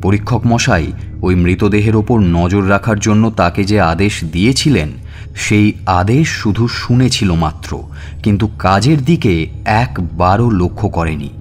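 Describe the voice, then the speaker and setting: A man narrating a scripted suspense story in a measured, expressive, dramatic way. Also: fairly low pitch. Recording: music bed under narration.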